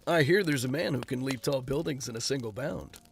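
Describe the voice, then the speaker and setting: An actor playing a flippant, self-mocking rich playboy in an audio drama. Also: male voice, mid-range voice, fairly quick, gravelly, soft background music, lightly acted. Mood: breezy. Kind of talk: fan audio drama acting.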